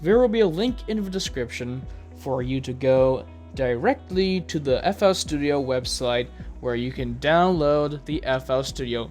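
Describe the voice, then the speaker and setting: A man narrating a scripted tutorial as its host, with theatrical, drawn-out emphasis. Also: fairly high pitch, a little mellow.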